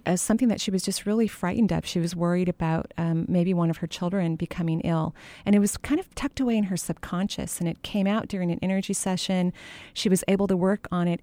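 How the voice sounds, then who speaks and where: A woman's voice: on the low side, dark tone, dry recording. A middle-aged woman hosting a call-in radio show, giving caller advice in a chatty, laughing, storytelling way. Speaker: radio show host and energy healer.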